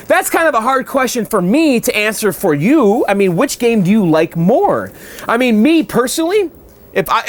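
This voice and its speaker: A man's voice: high voice, a bit bright, slight background noise. A man hosting a casual show, answering viewer mail in a chatty way and often checking in with "okay?". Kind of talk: casual chat.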